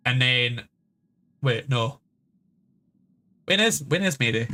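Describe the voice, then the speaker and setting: A man's voice: medium pitch, expressive, takes it slowly, sharp tone. A man chatting casually as a game streamer, rambling and swearing freely as he goes. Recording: music playing behind the voice. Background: background game music.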